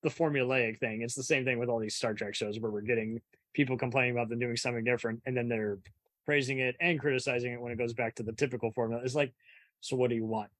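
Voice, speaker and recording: A man's voice: medium pitch, steady tone, fast, a bit bright, no room sound. A man hosting a casual, conversational interview. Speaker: interviewer and podcast host. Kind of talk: casual chat.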